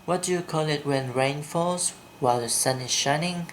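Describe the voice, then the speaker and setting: A man from Vietnam with a Vietnamese accent, talking slowly and deliberately in a home video blog, blunt when he gives his opinions. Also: on the high side, slight background noise. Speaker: vlogger. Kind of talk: casual video blog, reading a quiz and ranting. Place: indoors at home at night, kept quiet.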